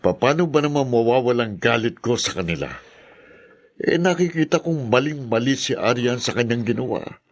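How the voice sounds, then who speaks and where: A man's voice: medium pitch, lively intonation, moderate pace. A man with a Filipino accent playing a disapproving, grumbling middle-aged father in a radio drama.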